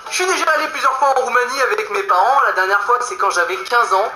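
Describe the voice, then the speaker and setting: A young man from France doing a quick, self-mocking comic monologue. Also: very high-pitched, pushed and bright, echoey room, faint music behind. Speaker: comedy vlogger.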